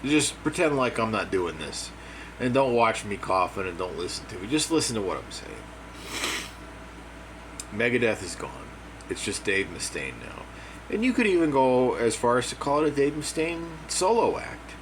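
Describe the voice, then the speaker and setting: A man with a hoarse, dry voice giving a casual, opinionated monologue as a music commentator, plain-spoken and repeating his points. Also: mid-range voice, big pitch swings, moderate pace, low-quality recording. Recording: air conditioner hum behind.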